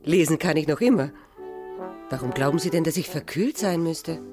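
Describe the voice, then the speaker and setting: Woman playing a proud, low-voiced, hardy woman. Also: normal speed, music in the background, lightly acted. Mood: cheerfully confident. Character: hardy, proud, self-assured, doctrinaire woman. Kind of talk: radio play acting.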